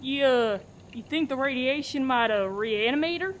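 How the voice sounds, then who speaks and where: A high-pitched, wry survivor played by a woman in a radio farce. She is chatty and teasing, over faint noise. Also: animated, normal speed. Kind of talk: farce radio play acting.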